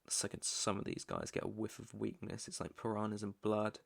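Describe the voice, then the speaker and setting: A British man playing a controlling, possessive young mafia heir in an ASMR roleplay, deliberate and commanding, with menace under the coaxing. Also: lowish voice, completely flat delivery, gravelly. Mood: smug, coaxing. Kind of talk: ASMR roleplay, second-person dramatic acting.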